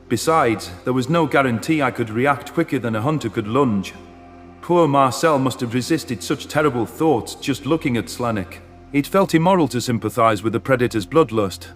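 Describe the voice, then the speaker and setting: A man's voice: medium pitch, fairly bright tone. A man reading a scripted first-person science fiction story as a dramatic narration.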